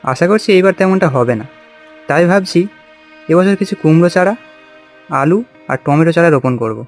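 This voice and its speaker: A man's voice: on the high side, lively intonation, fairly quick, soft background music, close to an everyday voice. A man voicing a hardworking village farmer in a cartoon, with a folksy, exclamatory way of talking. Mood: hopeful. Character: hardworking village farmer husband, hopeful and plain-spoken. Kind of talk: cartoon voice acting.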